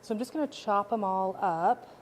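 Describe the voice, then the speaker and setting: A woman's voice: medium pitch, moderate pace. A woman presenting a recipe in a cooking video clip that is being played back. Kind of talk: recipe demonstration.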